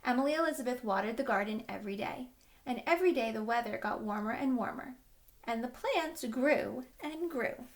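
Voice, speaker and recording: Woman, children's read-aloud, higher-pitched, very animated intonation, expressive emphasis. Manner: expressive, with drawn-out emphasis for young listeners. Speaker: children's book reader.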